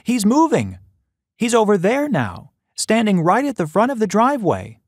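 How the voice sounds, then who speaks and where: Casting: man as forgetful elderly mom, raised pitch, fretful and insistent, audiobook dialogue, dry studio. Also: huge pitch swings, moderate pace, husky, no echo, full character voice. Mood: nervous, insistent. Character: anxious, insistent eighty-five-year-old mother, forgetful and fretful.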